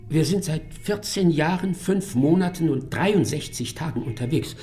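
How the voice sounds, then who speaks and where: A man's voice: fairly high pitch, expressive, normal speed, roomy sound, music in the background, close to an everyday voice. An actor in a German radio drama playing a talkative, wistful old male robot, a courteous, rambling ship's officer. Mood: explaining, courteous.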